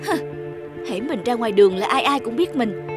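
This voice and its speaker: A woman's voice: on the high side, animated, moderate pace, loud music behind the voice, lightly acted. A woman voicing a vain, pushy famous female singer in a cartoon, sounding demanding and indignant.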